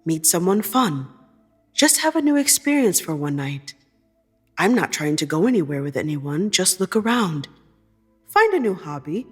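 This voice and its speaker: A woman voicing an excited, evasive young female coworker with a light, offhand manner in audio fiction. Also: medium pitch, slightly rough, long reverb tail. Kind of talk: acted dialogue in audio fiction.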